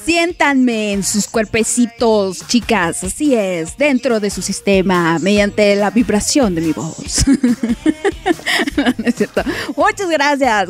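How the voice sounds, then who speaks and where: Playful Mexican woman hosting a show. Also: a little high. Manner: playful, joking, laughs often. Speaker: host. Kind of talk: casual live-stream chat.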